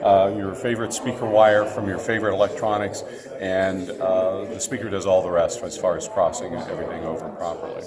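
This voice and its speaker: Low-voiced man demonstrating products in a crowd. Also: level tone, brisk. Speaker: loudspeaker company representative presenting a product line. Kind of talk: unscripted product demonstration at a trade show. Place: indoor trade show floor. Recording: busy crowd noise around the voice. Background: crowd chatter.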